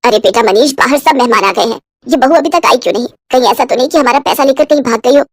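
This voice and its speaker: A woman's voice: fairly high pitch, quick pace, dry recording, studio quality, voice pushed down. Woman playing a scornful, bossy Indian mother-in-law. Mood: suspicious. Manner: scolding, sarcastic, melodramatic. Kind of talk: acted dialogue in a moral story. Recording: music behind the voice.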